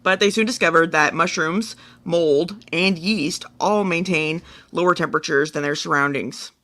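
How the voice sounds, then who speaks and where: Casting: male podcast co-host, higher voice with a bright, forward edge, conversational, thinking out loud. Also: normal speed. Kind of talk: casual chat, relaying a science story.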